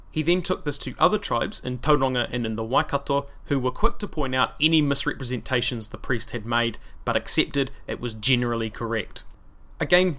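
Podcast host, male, New Zealand accent, bright and forceful tone, scripted history read with wry humour. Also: mid-range voice. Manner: dry asides and wry humour.

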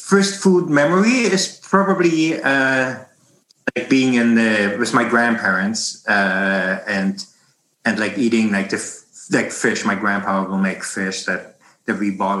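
A man's voice: fairly low pitch, sharp tone. German-accented man, echoey room. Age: 40s. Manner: rambling, many restarts and fillers. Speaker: bread baking instructor. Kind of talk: casual interview conversation.

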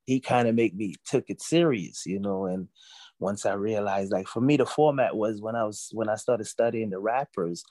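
A man in his forties from Jamaica, raised in Brooklyn, chatting as an interview guest, relaxed and full of "you know.". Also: lowish voice, normal speed, no room sound. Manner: relaxed, repeats himself, many "you know"s. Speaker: guest musician. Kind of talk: casual interview talk.

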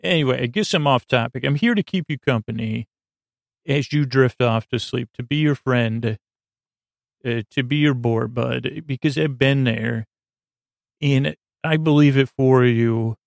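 A man hosting a bedtime podcast, meandering and soft-spoken, with frequent stammers and restarts. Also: medium pitch, measured pace, vocal fry, no echo. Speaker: podcast host. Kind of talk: rambling bedtime podcast talk.

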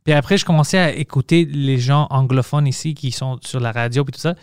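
Smooth-voiced man chatting. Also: mid-range voice, fairly quick, no room sound, studio quality. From Montreal, Quebec. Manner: loose and chatty, frequent restarts and English slips. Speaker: podcast host. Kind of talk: casual conversational chat.

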